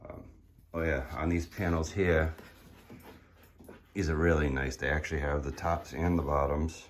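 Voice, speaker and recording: A hoarse man casually walking through his build in a garage workshop, explaining with many fillers and restarts. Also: a bass voice, slight background noise. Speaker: car builder showing his project.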